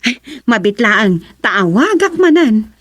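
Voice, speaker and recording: A woman's voice: fairly high pitch, sing-song, slow pace. An actress playing a concerned young woman in an Ilocano family radio drama, earnest and questioning, with an Ilocano accent. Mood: worried.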